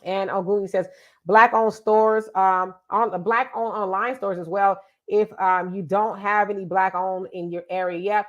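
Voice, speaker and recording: A woman's voice: medium pitch, fairly even intonation, measured pace, lo-fi sound. A middle-aged woman, sounding congested, hosting a casual live show and reading out viewer comments in an emphatic, chatty way that repeats itself. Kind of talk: casual live-stream talk.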